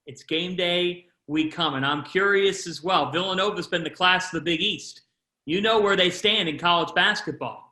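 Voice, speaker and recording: A man interviewing a college athlete, asking long, lively questions and speeding up and slowing down as he talks. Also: high voice, roomy sound. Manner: lively, shifts pace within questions.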